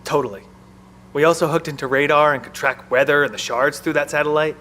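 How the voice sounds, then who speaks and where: A man's voice: fairly high pitch, a little fast, bright, forceful tone, a little hiss or hum. An actor playing an anxious, protective male bunker technician and new father in an audio drama. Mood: grim, worried.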